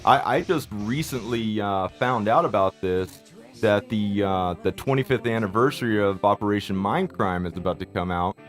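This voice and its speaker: A man co-hosting an internet radio show, asking questions in a relaxed way with stammers and easy laughs. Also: lowish voice, moderate pace, faint music behind. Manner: laid-back, laughs easily, stammers. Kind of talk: casual interview chat.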